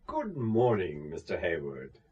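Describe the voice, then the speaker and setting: An actor playing a smooth go-between for spies in a radio drama, polite, formal and coolly pressing. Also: male voice, low voice, wildly swooping pitch, a little slow, a little mellow, dull audio, slight background noise, full character voice. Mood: smug politeness.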